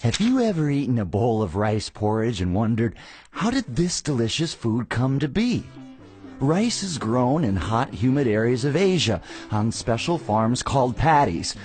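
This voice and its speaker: Mock-educational cartoon narrator: earnest scripted delivery, sweeping inflection, faint music bed. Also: male voice, mid-range voice, very animated, normal speed, a little mellow, husky, no echo. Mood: informative.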